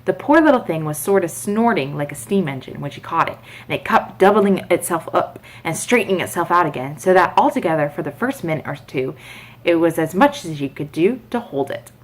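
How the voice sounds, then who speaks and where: Lowish-voiced woman reading a bedtime story, very animated. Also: fairly quick. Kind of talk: expressive storybook narration.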